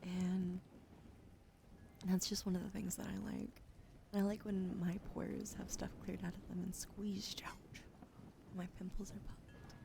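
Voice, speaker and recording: A woman streaming ASMR, softly whispering in a chatty, playful way, with a slight lingering cough as she recovers from being sick. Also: mid-range voice, little pitch movement, unhurried, gravelly, long pauses, background noise. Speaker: livestreamer.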